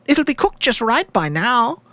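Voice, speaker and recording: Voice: mid-range voice, sing-song, measured pace, sharp tone, no room sound, voice pushed up, exaggerated voice. A performer voicing a tearful widow who speaks politely and pleadingly, with a false, coaxing sweetness, in a story reading.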